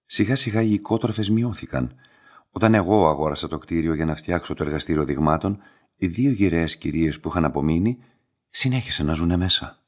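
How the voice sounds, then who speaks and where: An actor playing a composed, courteous and enigmatic laboratory director in a radio play, speaking in a measured, formal way. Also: male voice, sits low. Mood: businesslike, courteous. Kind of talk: scripted radio drama dialogue.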